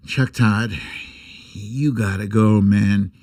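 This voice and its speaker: A man hosting a comic political livestream, speaking with sarcastic, irreverent bite and theatrical, drawn-out emphasis. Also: on the low side, steady tone, a little mellow. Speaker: host of a political humour livestream. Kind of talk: comic political commentary and mock storytime reading.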